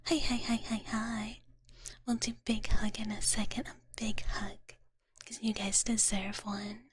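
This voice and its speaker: Slow, breathy whispering woman, ASMR streamer. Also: mid-range voice, little pitch movement, dry recording, clean studio sound. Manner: gentle, doting, repeats words, peppered with "like, totally". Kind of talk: whispered ASMR livestream chat in a cute big-sister character. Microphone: close microphone, dry sound.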